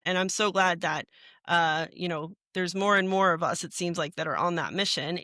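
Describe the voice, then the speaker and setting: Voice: mid-range voice, moderate pace, bright, forceful tone, no echo, studio quality. A podcast host asking follow-up questions with eager enthusiasm and many fillers. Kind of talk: podcast interview.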